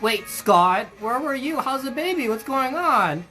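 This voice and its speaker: A young adult hosting a casual livestream for a hobby shop, with a bright, ringing tone. The talk is upbeat and friendly, full of fillers and quick restarts while greeting viewers. Soft music plays faintly in the background. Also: high-pitched, animated. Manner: friendly and effusive toward viewers. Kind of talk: casual livestream chat, greeting viewers and reading their comments.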